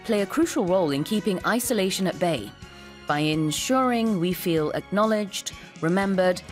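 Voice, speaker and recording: A woman hosting a morning radio show from a studio, reading out news items and chatting with a guest. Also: fairly low pitch. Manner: brisk, reads the Korean parts much faster than the English. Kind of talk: bilingual morning radio talk.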